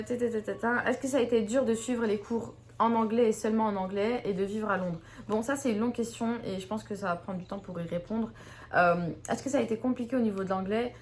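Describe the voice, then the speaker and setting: A woman's voice: mid-range voice, a little hiss or hum. A young woman from Belgium, chatting casually to her camera, giggly and self-mocking. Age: young adult. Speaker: YouTuber.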